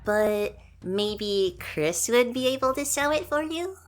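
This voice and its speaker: A woman voicing a gentle, earnest young prince who explains things patiently and is eager to help, in an audio drama. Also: on the high side, animated, slow pace, faint music behind. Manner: soft-hearted, patiently explaining.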